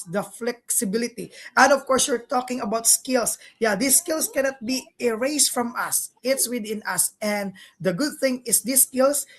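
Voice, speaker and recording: A woman with a fairly high voice hosts an online discussion. She speaks conversationally and affirmingly, moving between introductions and her own commentary. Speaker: host. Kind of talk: hosting an online business panel.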